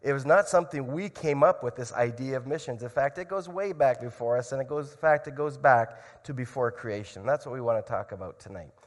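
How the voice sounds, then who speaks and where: A man speaking as a missionary at a church, telling his story earnestly and with growing excitement. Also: mid-range voice, brisk, hardly any pauses, long reverb tail. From grew up in Indonesia. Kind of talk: church missionary talk with prayer.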